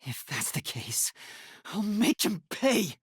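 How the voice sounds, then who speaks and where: A man playing an earnest, timid young detective trainee in an English video game, with a soft, boyish, slightly breathy voice and a hesitant, polite delivery. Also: high voice, very animated, slow, no room sound, studio quality, full character voice. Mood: grim, brooding.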